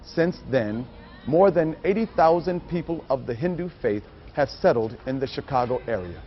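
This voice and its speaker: A man's voice: mid-range voice, expressive, moderate pace. A man reading scripted narration for a training film. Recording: muffled audio with music behind. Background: music.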